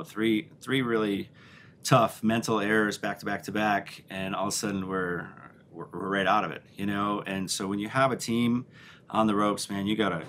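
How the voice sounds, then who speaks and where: A middle-aged man with a very low voice answers press questions as head coach. He talks frankly, with many restarts and "you know"s, into a table mic in a press room, with a faint rumble behind him. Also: fairly bright tone. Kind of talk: postgame press conference answers. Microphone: table mic on a stand.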